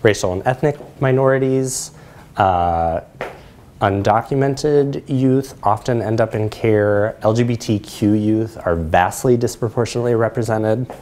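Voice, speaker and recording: American guy, lowish voice, presenter explaining stuff in a q&a in a lecture room. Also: measured pace, darkish tone, slight background noise. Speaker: presenter taking audience questions. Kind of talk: explanatory question-and-answer at a lecture.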